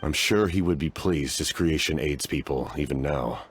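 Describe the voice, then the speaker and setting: An actor playing a stoic, war-weary knight-commander in a fantasy game, speaking formally and gravely. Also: male voice, rumbling low, moderate pace, a little hiss or hum.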